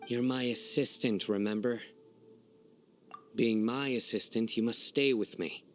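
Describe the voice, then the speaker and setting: A man voicing a stern, composed commanding officer in a video game, speaking in a terse, authoritative, deadpan way. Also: medium pitch, a little slow, soft background music, lightly acted.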